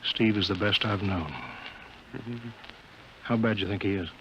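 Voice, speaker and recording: A man's voice: on the low side, fairly even intonation, moderate pace, fairly bright tone, natural voice. An actor playing a terse, skeptical superior worried for his pilot, in 1950s radio drama style on an old broadcast recording. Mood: concerned, soothing. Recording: muffled, slightly noisy 1950 radio broadcast.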